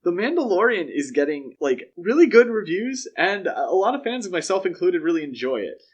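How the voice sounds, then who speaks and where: A man hosting a podcast in casual, opinionated discussion, talking in a conversational way with measured, hedged opinions. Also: sits high, big pitch swings, dull audio. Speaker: podcast host.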